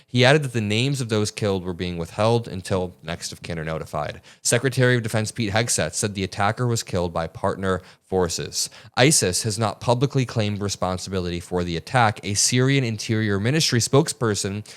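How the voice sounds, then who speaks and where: Low-voiced man giving earnest news commentary. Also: a little fast, hardly any pauses, clear, resonant tone. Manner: earnest, emphatic, argumentative. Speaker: political commentator.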